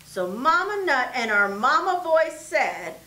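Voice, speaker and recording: Woman storyteller, middle-aged, high bright voice, animated picture-book delivery. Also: measured pace. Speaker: children's librarian. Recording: roomy, echoey sound.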